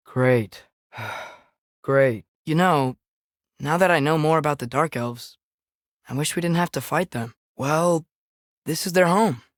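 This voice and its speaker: A man's voice: on the high side, dry recording, clean studio sound, close to an everyday voice. A young male actor voicing an earnest, compassionate teenage boy adventurer in a video game, with an American accent and a questioning, casual way of talking. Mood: uneasy, thoughtful. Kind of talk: naturalistic video game character acting.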